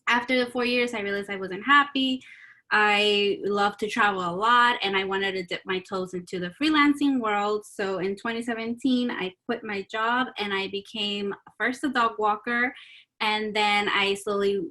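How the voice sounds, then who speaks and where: A woman from New York, a content creator, introducing herself on a video call panel in a lively way. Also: mid-range voice, moderate pace.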